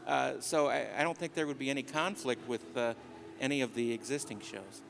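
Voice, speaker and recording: A man's voice: medium pitch, steady tone, a little fast, gravelly, fairly bright tone, slight background noise. A man talking casually as a panelist in an unscripted discussion.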